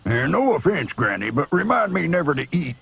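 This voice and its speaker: Gravelly, animated good-hearted hunter. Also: male voice, on the high side, fairly quick, lo-fi sound, heavily acted. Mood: amused, relieved. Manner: earnest, folksy. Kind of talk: animated fairy-tale voice acting. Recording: VHS cartoon soundtrack with loud music.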